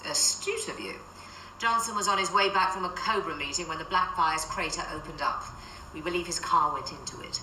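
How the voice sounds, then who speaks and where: A woman's voice: on the low side, a little fast, pushed and bright. Stern female agency boss, authoritative, dryly sarcastic, radio drama, distant from the mic with faint noise. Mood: brisk, terse. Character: stern, commanding female secret agency chief. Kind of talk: modern radio drama acting. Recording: roomy, distant, slightly noisy.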